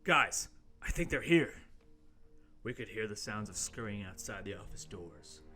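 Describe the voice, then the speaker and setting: Man acting a bright, forceful, jumpy horror-story narrator. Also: lowish voice, expressive, unhurried, soft background music. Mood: alarmed. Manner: melodramatic, ominous storytelling broken by shouted sound effects. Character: Luther, jumpy young intern narrating his own survival horror tale. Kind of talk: amateur radio play table read.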